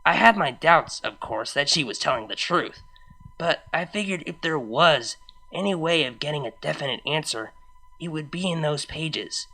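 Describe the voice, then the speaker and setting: A reader voicing a young female unicorn who tells her story in a casual, confiding, expressive way, wry and fond, as in a fan fiction audiobook. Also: medium pitch, normal speed, low-quality recording. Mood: hushed, thoughtful. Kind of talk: first-person fan fiction reading, conversational.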